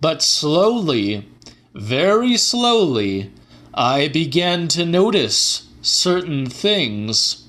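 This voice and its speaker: Man playing a slow, swooping, fervent scientist-orator. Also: fairly high pitch, a bit bright, full character voice. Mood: grave, confiding. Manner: oratorical, formal, theatrical. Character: fervent male scientist lecturing an audience on divine revelation. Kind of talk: dramatic audiobook monologue.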